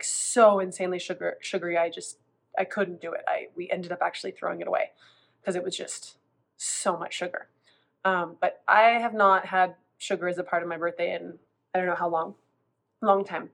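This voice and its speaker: Woman food coach in her 30s, stuffy from a cold, loose rambling vlog delivery. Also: lowish voice. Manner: chatty, rambling with many restarts. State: congested from a cold. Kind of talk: casual vlog talk.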